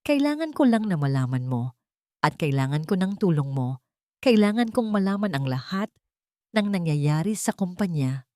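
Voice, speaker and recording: A woman's voice: on the low side, big pitch swings, moderate pace, dark tone, no echo. A determined young Filipina who has been wronged , voiced by a woman narrator. She speaks with resolve in a storybook drama. The sound is dry and close. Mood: urgent, shaken.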